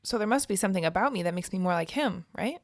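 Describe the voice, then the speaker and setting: A woman's voice: medium pitch, animated, fast talker, no echo, close to an everyday voice. A woman playing a guarded, self-doubting young trainee in an audio drama, hesitant and downbeat in naturalistic dialogue. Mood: troubled. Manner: hesitant, downbeat, clipped answers.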